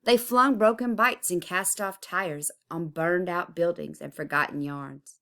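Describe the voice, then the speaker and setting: A woman reading a children's picture book aloud expressively, with deliberate, dramatic emphasis. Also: fairly low pitch, pushed and bright. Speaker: storybook reader.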